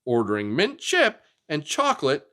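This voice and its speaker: Male children's story reader, highly animated, casual and conversational with kids. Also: normal speed. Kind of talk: animated picture-book reading with casual chat to children.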